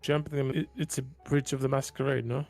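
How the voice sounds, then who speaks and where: A man's voice: mid-range voice, little pitch movement, normal speed, darkish tone, faint music behind. A man playing a tabletop roleplaying game, casually debating tactics with the group.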